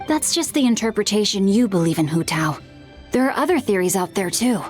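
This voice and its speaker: A woman playing a studious, well-spoken teenage boy who explains things calmly and articulately in an anime video game. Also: mid-range voice, fairly quick, soft background music, natural voice. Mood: calm, learned.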